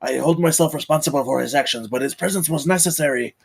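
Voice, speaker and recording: A man at a live table read playing a wary, formal adventurer with a gravelly, husky voice. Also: on the high side, quick pace. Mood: guarded, formal. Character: wary, formal male adventurer, urgent under threat.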